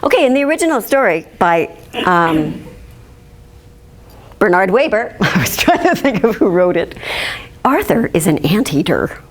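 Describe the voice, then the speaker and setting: A woman's voice: mid-range voice, big pitch swings, normal speed, long pauses. A woman in her fifties, a children's librarian, teaching a chatty demonstration lecture and laughing often. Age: 50s.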